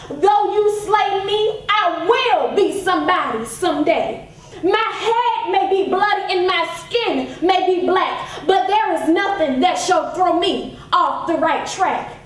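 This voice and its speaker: Female poetry reciter: impassioned, declamatory, unhurried delivery, distant in a heavily echoing space. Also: very high-pitched. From Savannah, Georgia, United States. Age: 20s. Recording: very echoey hall, distant microphone.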